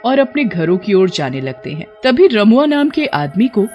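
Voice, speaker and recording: A woman's voice: medium pitch, big pitch swings, moderate pace, lo-fi sound. A woman narrating a scripted cartoon story. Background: background music.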